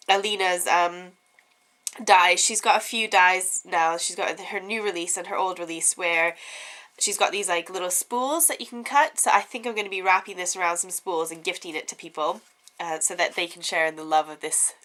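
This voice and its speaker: A woman's voice: fairly low pitch, pushed and bright. A young woman with a stuffy head cold, chatting casually and giggling through a craft show-and-tell at home. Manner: chatty, giggly, lots of ums. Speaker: craft vlogger.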